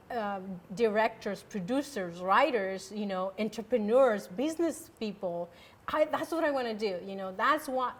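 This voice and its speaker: A woman hosting a casual interview show, talking at length with many fillers and restarts. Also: mid-range voice. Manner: rambling, many fillers, warm toward the guest. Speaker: host.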